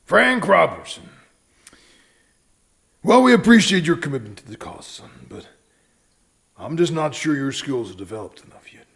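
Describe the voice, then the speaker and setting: An actor playing a stern but fatherly, world-weary sheriff in a western audio drama, with an American Southern drawl and a deliberate, folksy manner. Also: lively intonation, creaky. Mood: fatherly, firm. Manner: deliberate, folksy, swinging from hushed to booming.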